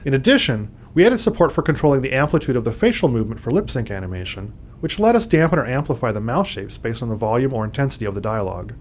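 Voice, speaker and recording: Man, fast pace, animated intonation, scripted technical commentary. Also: medium pitch, slight background noise. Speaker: game developer giving commentary.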